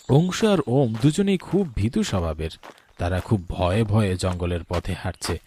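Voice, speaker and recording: A man with a fairly low voice tells a dramatic story as narrator. The delivery is scripted storytelling, recorded with faint noise in the background. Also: darkish tone.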